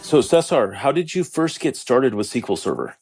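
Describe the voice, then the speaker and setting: A man's voice: mid-range voice, very animated, fairly quick, a little mellow, faint music behind. A man co-hosting a casual podcast interview, making brief, matter-of-fact remarks and questions. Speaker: podcast co-host.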